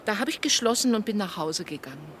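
A woman's voice: medium pitch, lively intonation, fast, a little hiss or hum, natural voice. An actress playing a chatty, observant kiosk woman and housewife giving matter-of-fact testimony in a German radio crime drama.

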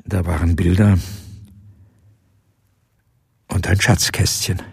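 An actor playing a haunted old man in a radio drama, husky and gravelly, recalling a wartime secret in a halting, reflective way. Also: deep voice, flat delivery, heavily acted. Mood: haunted, remorseful.

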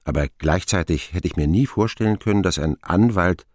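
An actor playing an older man who wryly recalls his boyhood as the first-person narrator of a radio drama, expressive in his telling. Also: sits low, fairly quick, dry recording, studio quality, close to an everyday voice. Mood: rueful. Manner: wry, expressive storytelling.

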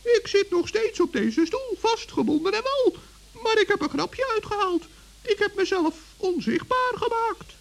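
A little male forest gnome for a children's radio play. His voice is extremely high and squeaky with a creaky edge, and he speaks with crafty, cheerful innocence. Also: animated, measured pace, heavily acted. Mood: calm, sly.

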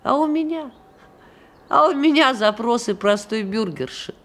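An actress playing a showy prima donna in a radio play, speaking in an affected, theatrical and falsely modest way. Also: female voice, on the high side, lively intonation, a little slow, a bit bright. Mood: affected, complaining.

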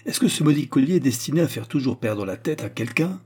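An imperious, haughty queen of a storybook, acted out by a man, her voice lifted in pitch and a little husky. She speaks with cutting indignation and mocking rhetorical questions. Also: expressive, quick pace, dark tone. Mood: stern, sarcastic.

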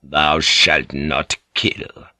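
A man's voice: low-pitched, pushed and bright, no room sound, clean studio sound, voice pushed down, exaggerated voice. Man acting a grave, immovable big priest. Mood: solemn. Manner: slow, grave, deliberate. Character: solemn, bulky priest, immovable moral authority.

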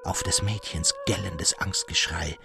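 A man reading a classic literary tale as scripted narration, in an expressive, precise storytelling manner. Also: sits low, a little slow, vocal fry, music in the background. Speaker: audiobook narrator.

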